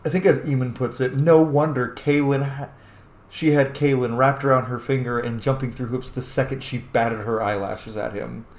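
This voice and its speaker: A man's voice: medium pitch, steady tone, moderate pace. Chatty male gamer in an echoey room. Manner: chatty, informal, opinionated. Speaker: game streamer. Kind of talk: casual gameplay commentary. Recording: roomy, echoing microphone sound.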